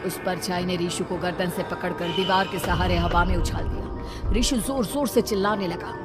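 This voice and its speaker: A woman's voice: fairly low pitch, normal speed, loud music behind the voice. A woman delivering scripted horror story narration as a narrator.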